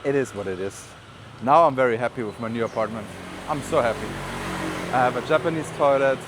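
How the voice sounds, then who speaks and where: A man's voice: medium pitch, a little fast. A man from Germany with a German accent, chatting casually and rambling with informal asides in a walking vlog. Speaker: vlogger. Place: outdoors on city streets while walking. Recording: outdoor street noise, passing traffic. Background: urban street ambience, vehicles.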